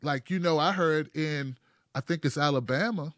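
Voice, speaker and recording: Middle-aged American man, higher voice, dry close sound, slangy, emphatic, chatty talk show host. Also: normal speed, fairly bright tone, dry recording. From United States. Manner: emphatic, slangy, addresses listeners as man, bro, guys. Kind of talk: casual, animated interview chat.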